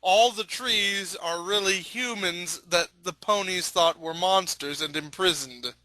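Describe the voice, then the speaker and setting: Bright, high-voiced man chatting. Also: measured pace, poor audio. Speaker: guest commentator in a group reading. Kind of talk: casual group chat.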